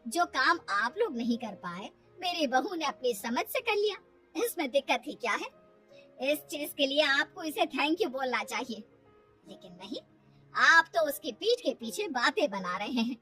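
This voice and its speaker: A woman's voice: sits high, very animated, moderate pace, pushed and bright, recorded from a distance, faint music behind, full character voice. A woman voicing an outspoken woman in a moral-story cartoon, emphatic and opinionated, a little off the microphone. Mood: indignant. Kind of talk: animated cartoon story acting.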